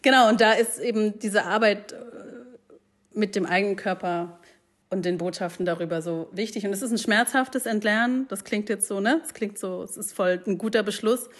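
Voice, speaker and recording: A woman in her forties talking reflectively, often pausing with fillers as she searches for words and mixing in English phrases. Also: medium pitch. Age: 40s. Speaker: guest. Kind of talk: casual conversation.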